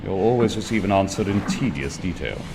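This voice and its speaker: An elderly, coolly detached doctor for an audio drama. His low voice lingers over precise, wordy observations, echoing in a long hall over clear background noise. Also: lively intonation, a little fast. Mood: pedantic, droll. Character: pedantic, detached elderly godfather and doctor, morbidly fascinated. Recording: noisy, long reverberant hall sound.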